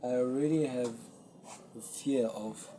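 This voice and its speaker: A young man, a Zulu speaker, hosting his own podcast and talking earnestly and reflectively to a camera with many pauses. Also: mid-range voice, noisy background. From South Africa. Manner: slow, earnest, self-searching, with pauses. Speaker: podcast host. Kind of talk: solo reflective talk to camera.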